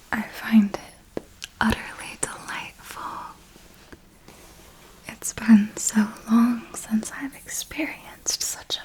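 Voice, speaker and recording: A woman's voice: fairly high pitch, rough recording. A woman voicing an ancient, serenely ruthless and darkly tender female vampire mentor in an ASMR roleplay, speaking formally and coaxingly in a whisper. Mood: quietly delighted.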